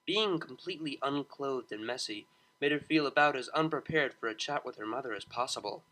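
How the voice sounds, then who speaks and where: Male storyteller for scripted fiction, lively intonation, bright and forward tone. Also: mid-range voice, fairly quick, poor audio. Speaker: audiobook narrator. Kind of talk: expressive scripted narration of fan fiction.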